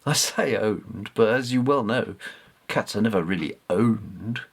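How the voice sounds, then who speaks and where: A man voicing a learned, modest old man in a storybook, explaining things in a measured way. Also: fairly low pitch, vocal fry, slightly rough, heavily acted. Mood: thoughtful, explanatory.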